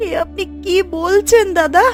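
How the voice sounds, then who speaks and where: A sorrowful village mother from a cartoon, acted by a woman, speaks in a high voice. She is tender and pleading, with faint music underneath. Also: a little slow. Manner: pleading, emotional. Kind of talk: Bengali cartoon voice acting.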